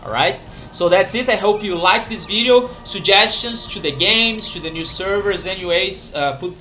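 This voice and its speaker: A man's voice: high-pitched, moderate pace, bright, forceful tone, soft background music, low-quality recording. A man, a game developer presenting a screen-recorded demo, who often restarts sentences while searching for words. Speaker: game developer and server owner.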